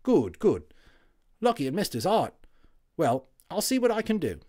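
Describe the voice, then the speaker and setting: A chatty Cockney army medic. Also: male voice, sits high, huge pitch swings, moderate pace, mellow, pitched above the performer's own voice, exaggerated voice. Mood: brisk. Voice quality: chatty, rough-and-ready working-class speech.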